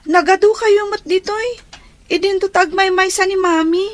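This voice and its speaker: A young stepdaughter in an Ilocano radio drama. Her voice is high, and she speaks gently and respectfully. She comes across as earnest, anxious and pleading. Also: fairly even intonation, moderate pace. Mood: worried, insistent.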